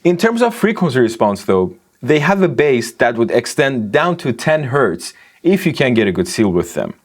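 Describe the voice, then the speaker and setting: Male technical reviewer, explanatory scripted read, medium pitch with very varied intonation. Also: very animated, normal speed. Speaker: audio reviewer.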